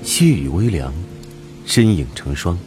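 A man with a very deep voice narrates an audio drama. His delivery is scripted and dramatic, with lively pitch movement and faint music behind him. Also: unhurried.

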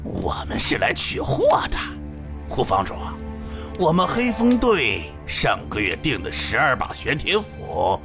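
An arrogant wolf-demon warrior for an audio drama. The voice is gravelly and haughty, sliding widely up and down in pitch, over a music bed. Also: male voice, big pitch swings, measured pace.

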